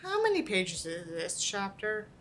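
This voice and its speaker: A woman's voice: medium pitch, huge pitch swings, measured pace, fairly bright tone, a little hiss or hum. A woman reading a book aloud as an online creator, with an irreverent, exasperated manner and casual, sweary asides.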